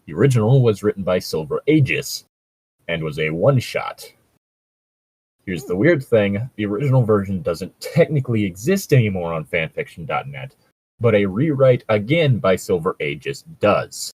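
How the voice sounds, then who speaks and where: Low-pitched, slightly husky man, dry recording. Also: big pitch swings, moderate pace, a little mellow, no echo. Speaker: podcast co-host. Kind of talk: casual banter and mock fanfiction reading.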